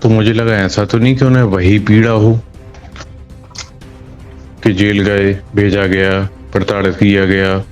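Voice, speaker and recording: A man's voice: lowish voice, level tone, a little slow, pauses often, faint music behind. A man answering an interviewer in a colloquial way, often repeating phrases for emphasis. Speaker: local political party member being interviewed.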